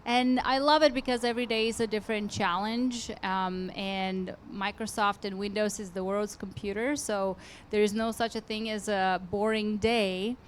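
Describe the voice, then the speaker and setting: An earnest woman introduces herself on a conference stage, speaking into a handheld mic in a faintly noisy hall. Her voice sits at a middle pitch, and she pauses and restarts her phrases as she goes. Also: fairly even intonation. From Bulgaria. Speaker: conference panelist. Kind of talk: panel self-introduction. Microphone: handheld microphone.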